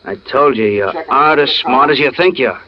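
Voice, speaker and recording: An actor playing a skeptical, needling police detective with a dry, sarcastic manner, in 1940s radio style. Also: male voice, mid-range voice, animated, brisk, crowd noise, lightly acted. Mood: dry, doubting. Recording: muffled vintage radio recording.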